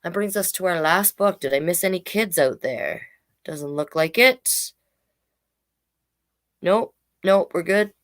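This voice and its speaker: A woman hosting a children's storytime online, reading deliberately and adding casual, chatty asides. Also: mid-range voice, creaky, a bit bright. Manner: slow, deliberate read-aloud delivery with chatty asides. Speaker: drag king storytime host.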